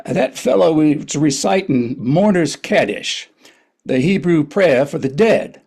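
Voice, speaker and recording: A man's voice: fairly high pitch, lively intonation, takes it slowly, gravelly, a little mellow, slightly rough. A man narrating a historical play in a scripted but conversational way.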